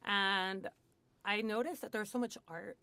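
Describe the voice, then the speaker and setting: A woman's voice: medium pitch, fairly even intonation, moderate pace, sharp tone, dry recording. A woman from Hollywood, a guest in a radio studio, telling her story candidly and casually, with lots of "like" and "you know.". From Hollywood, USA. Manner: chatty, lots of "like" and "you know", laughs often. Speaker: guest in recovery.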